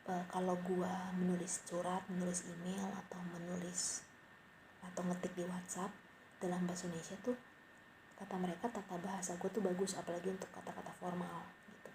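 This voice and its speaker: A woman's voice: on the low side, gravelly. A young woman rambling casually and mocking herself, sometimes dropping to a whispery aside. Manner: rambling, self-mocking, slangy. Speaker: podcaster. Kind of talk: casual late-night monologue. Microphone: cheap home mic. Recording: noisy home mic recording.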